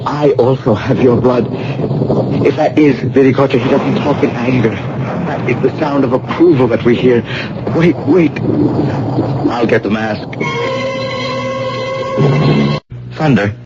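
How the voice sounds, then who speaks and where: An actor playing a wary man drawn into a risky heist in a radio drama, speaking tersely and haltingly. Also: medium pitch, loud music behind the voice, natural voice. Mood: alarmed. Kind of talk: vintage radio drama acting. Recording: muffled, humming old radio broadcast recording.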